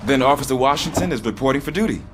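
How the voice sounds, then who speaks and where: A man's voice: mid-range voice, expressive, very fast, background noise, lightly acted. An actor playing a young, deferential rookie policeman in a video game, polite, earnest and a little hesitant. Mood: eager. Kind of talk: scripted video game acting.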